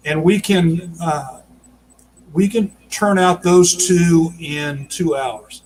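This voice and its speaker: A man explaining his work as an audio drama producer in a casual, halting way during an interview over a video call. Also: a little high, a little mellow, rough recording. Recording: noticeable background noise, video call.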